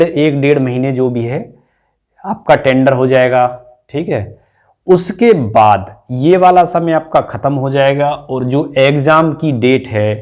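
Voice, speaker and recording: A man's voice: mid-range voice, a little mellow. A man giving an informal, repetitive step-by-step explanation as a teacher to students, often adding a short check for understanding. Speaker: exam-prep teacher and channel host. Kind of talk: informal explainer addressed to exam candidates.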